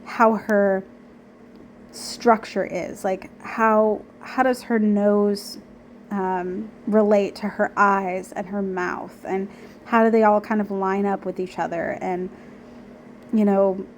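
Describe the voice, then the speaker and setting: Woman with a slightly nasal, raspy cold voice. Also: mid-range voice, fairly even intonation, slow, slight background noise. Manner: chatty and self-deprecating, with many ums and likes. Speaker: art vlogger. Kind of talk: casual narration over painting footage.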